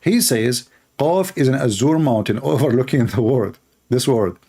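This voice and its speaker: A man's voice: medium pitch, animated, normal speed, warm tone, breathy edge. A man whose first language is Arabic, giving sarcastic, mocking commentary and laughing often. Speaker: online debater.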